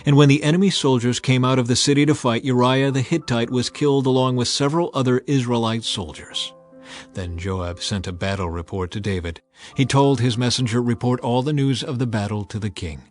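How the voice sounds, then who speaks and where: A man giving a measured, solemn, dramatized narration of scripture as an audiobook reader. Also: mid-range voice. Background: music.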